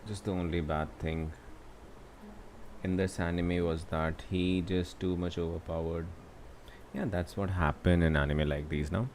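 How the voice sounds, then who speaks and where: A man's voice: low voice, flat delivery, measured pace, darkish tone. A man with an Indian accent chatting casually as he livestreams a game, with faint background noise.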